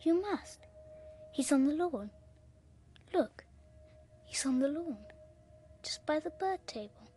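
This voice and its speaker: A woman's voice: sits high, animated, slow, long pauses, mellow, a little hiss or hum. A woman playing a bossy, eerie little boy with a British accent in a radio horror drama, insistent, repetitive and taunting.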